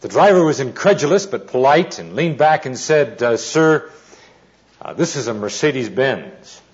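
A man giving an informal sermon with anecdotes, telling them deliberately with pauses and dry humour. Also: mid-range voice, lively intonation. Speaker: preacher, Bible teacher. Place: speaking live to an audience. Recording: dull, muffled lo-fi recording.

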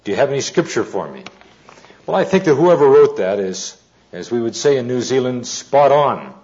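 A man giving an informal sermon with anecdotes, telling them deliberately with pauses and dry humour. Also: mid-range voice, lively intonation, darkish tone. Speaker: preacher, Bible teacher. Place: speaking live to an audience. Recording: dull, muffled lo-fi recording.

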